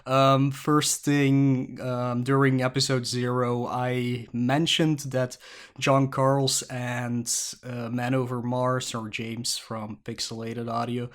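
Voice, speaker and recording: A man from Belgium speaks English with a Flemish accent, his first language being Dutch. His voice sits in an ordinary middle pitch as he rambles through a casual solo podcast. He jokes and pokes fun at himself as he goes. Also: fairly bright tone. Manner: rambling, self-mocking and jokey. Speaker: podcast host. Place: at a creaky desk at home.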